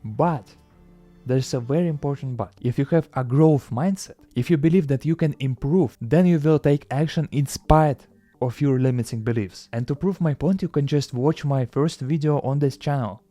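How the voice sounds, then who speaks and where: A man's voice: medium pitch, normal speed, dark tone, dry recording, faint music behind. A young man with a Russian accent giving an earnest motivational talk to camera, with slightly non-native phrasing. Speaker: self-improvement YouTuber.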